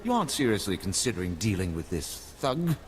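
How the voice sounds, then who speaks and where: A man's voice: fairly low pitch, sing-song, brisk, slight background noise. Haughty, swooping nobleman. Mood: indignant, scolding. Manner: haughty, disdainful, formal. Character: pompous, snobbish male noble uncle, easily scandalised. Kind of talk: video game dialogue. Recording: background music under the voice.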